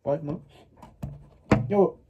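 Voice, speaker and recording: A man in his early twenties chatting casually to a vlog audience in a halting, rambling way, often repeating words and short phrases. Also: narrow, tinny audio. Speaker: vlogger. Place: small laundry room beside a kitchen at home, indoors. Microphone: phone at arm's length.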